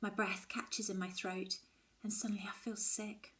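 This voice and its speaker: A woman reading a children's novel aloud, expressive and dramatic, often dropping toward a hushed tone. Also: mid-range voice, normal speed. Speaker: story reader.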